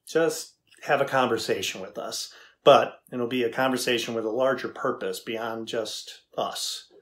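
A man's voice: mid-range voice, a little slow, close to an everyday voice. A middle-aged man playing a curious, skeptical tech engineer interviewing an AI in a scripted dramatization, matter-of-fact and inquisitive. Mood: polite, businesslike. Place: indoors, plain backdrop.

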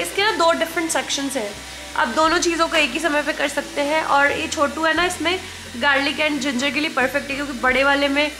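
A woman, chatting casually as she cooks and slipping English words into her talk. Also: sits high. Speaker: vlogger and home cook. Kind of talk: casual chat while cooking, mixing in English. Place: home kitchen, indoors. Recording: echoey kitchen with clear appliance noise.

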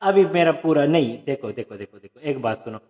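A man's voice: on the high side, lively intonation, fairly quick, darkish tone. A man in his thirties hosting a prank phone call, bantering into a studio microphone. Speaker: prank caller and host. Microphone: shared studio condenser mic with pop filter. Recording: echoey sound.

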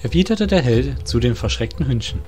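A male narrator reads a scripted fantasy tale. His voice is of average pitch, and soft music runs behind it. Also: lively intonation, fairly quick.

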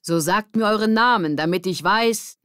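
A woman voicing an insecure, frustrated boy with stage fright, halting and emphatic, in a children's audiobook. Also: mid-range voice, a bit bright, clear, resonant tone, dry recording, studio quality, voice pushed up, lightly acted. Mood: strained.

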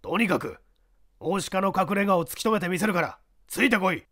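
Man acting a jealous bullying brute, high-pitched and agitated. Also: lively intonation, brisk, a bit bright. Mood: angry, demanding. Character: jealous, brutish, threatening big young man. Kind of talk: audiobook character acting.

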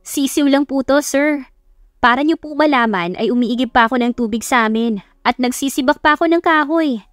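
A woman's voice: high-pitched, moderate pace, no room sound, soft background music, voice pushed up, lightly acted. A woman voicing a shy, nervous young college woman in an audio love story, polite and hesitant. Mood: amused, proud.

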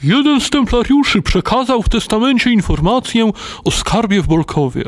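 Elderly noble knight, audio drama. Dignified, ceremonious, high-pitched for a man, richly melodic. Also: lively intonation. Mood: solemn, grateful. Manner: measured, ceremonious storytelling. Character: grateful elderly Spanish knight, dignified and solemn. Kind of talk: dramatic audio play acting.

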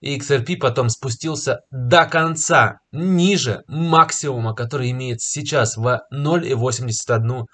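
A young man who is slightly ill and clears his throat now and then, confidently talking viewers through a price chart, recorded on a webcam in a small room. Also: medium pitch, fairly bright tone. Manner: confident, persuasive, pausing with filler words. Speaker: crypto market commentator. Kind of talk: screen-share market analysis to camera. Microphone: webcam in a corner of a screen recording.

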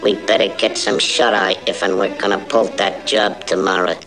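A man's voice: deep voice, flat delivery, moderate pace. A man voicing a short-tempered cartoon gangster boss, tough, curt and menacing. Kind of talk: classic theatrical cartoon acting. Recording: old cartoon soundtrack with loud music.

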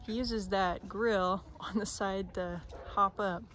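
A woman's voice: medium pitch, fairly even intonation, slow pace. Young woman vlogging among clucking chickens. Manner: chatty, talks to her chickens in a soft coaxing voice. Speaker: homestead vlogger. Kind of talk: casual vlog narration. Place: outdoors on a farm among chickens. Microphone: phone camera held at arm's length. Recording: outdoor phone audio with chicken noise. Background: clucking chickens and roosters.

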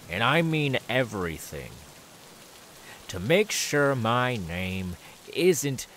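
A man's voice: medium pitch, a bit bright, a little hiss or hum, heavily acted. A man voicing a menacing, taunting killer simmering with anger in an ASMR audio roleplay, drawing out repeated words.

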